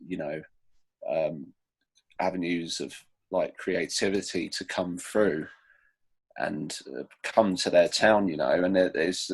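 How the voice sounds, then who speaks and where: A man's voice: sits low, slightly rough. A man with a British accent answering interview questions as a musician, in casual, hesitant, rambling talk. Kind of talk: casual chat.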